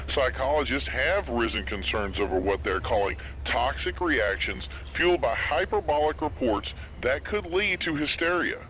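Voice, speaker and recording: Man acting as male radio news announcer, apocalypse drama, serious, formal bulletin delivery, medium pitch, light background noise. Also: a bit bright, natural voice. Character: sober male radio news announcer during zombie outbreak. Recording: noisy radio broadcast with background ambience.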